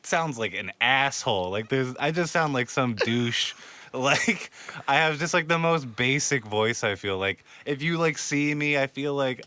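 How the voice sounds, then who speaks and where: A young area man, hosting a casual podcast. His voice is bright and forceful, recorded dry and close. He talks in a laid-back way, swears often and laughs easily. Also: mid-range voice, expressive, unhurried, clear, resonant tone, no room sound. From Philadelphia area, USA. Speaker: podcast host. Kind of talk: casual chat.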